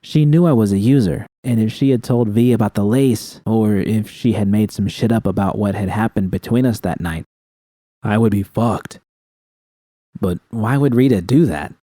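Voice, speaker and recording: Low, mellow man narrating fiction. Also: no echo. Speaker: audiobook narrator. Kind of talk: scripted first-person fiction narration.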